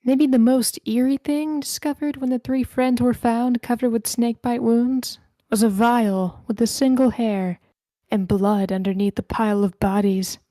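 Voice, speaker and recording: A woman's voice: fairly high pitch, steady tone, moderate pace, dark tone, no echo, lightly acted. A woman playing a tour guide in her late twenties who tells eerie local legends at a screenplay table read. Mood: eerie storytelling.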